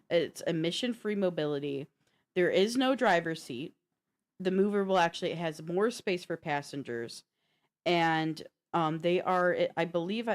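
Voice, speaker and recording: Low-pitched woman, casual podcast co-host. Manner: informal, slangy, thinking aloud. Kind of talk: casual tech and games chat.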